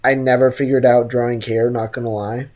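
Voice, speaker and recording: Very low-voiced woman streaming a game. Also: little pitch movement, brisk, warm tone. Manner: slangy, teasing, reactive. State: slightly sick throat. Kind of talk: casual live gameplay commentary.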